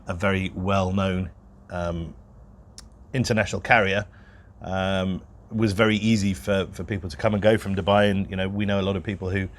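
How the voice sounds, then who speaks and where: A man co-hosting a property podcast in casual, unscripted chat, talking in a rambling, self-deprecating way. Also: deep voice. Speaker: podcast co-host, real estate broker.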